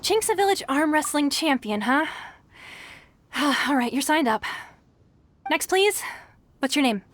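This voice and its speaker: A woman's voice: high voice, measured pace. A woman voicing a polite, flustered tournament registrar in a video game who gets starstruck and stammers. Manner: polite, hesitant, easily excited.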